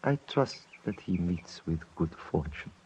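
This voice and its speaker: An actor playing a dignified old Tibetan religious elder in a 1950s radio drama, speaking formally and with measured courtesy. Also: male voice, low voice, lively intonation, a little mellow, a little hiss or hum. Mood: solemn, gracious. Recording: muffled 1950s radio recording.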